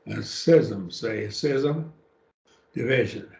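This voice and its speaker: Raspy older man teaching a Bible class. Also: medium pitch, extremely slow, recorded from a distance. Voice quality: raspy after throat surgery. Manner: plain-spoken, explains word by word, often trails off softly. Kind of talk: Bible study class lecture. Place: church classroom. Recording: echoey room, dull lo-fi sound.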